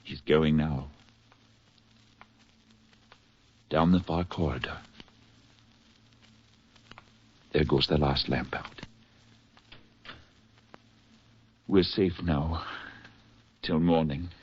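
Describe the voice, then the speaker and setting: An actor playing an exhausted, lovelorn spy on the run in a 1940s radio drama, with a halting, earnest delivery and a husky voice. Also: male voice, extremely low pitch, full character voice. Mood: hushed, urgent. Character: hunted English spy, exhausted, tender, in love. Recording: muffled, husky-sounding 1940s radio recording.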